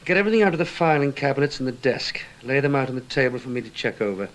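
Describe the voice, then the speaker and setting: An actor playing a brisk, weary British intelligence officer in a radio drama, with terse, clipped questioning. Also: male voice, medium pitch, expressive, close to an everyday voice. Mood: brisk, businesslike. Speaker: investigator and first-person narrator.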